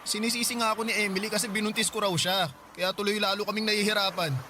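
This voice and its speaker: A man acting as a broke younger brother in a Filipino radio drama. He speaks in a fairly high voice, pleading and defensive, over clear background noise. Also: fairly even intonation, fast, lightly acted. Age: young adult. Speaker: actor in a radio love-story drama.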